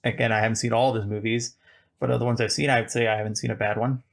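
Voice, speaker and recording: A man with a gravelly, creaky voice talks about movies in a loose, rambling way, circling back and restarting his thoughts. He sounds like a podcast host in casual conversation, at an ordinary middle pitch. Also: level tone, rapid-fire. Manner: chatty, rambling, frequent "like" and restarts. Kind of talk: casual film discussion.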